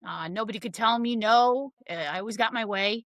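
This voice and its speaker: A woman's voice: fairly high pitch, fairly quick, a bit bright, dry recording, studio quality. A woman in her thirties from Connecticut, a podcast guest telling her life story in a blunt, frank, casual way.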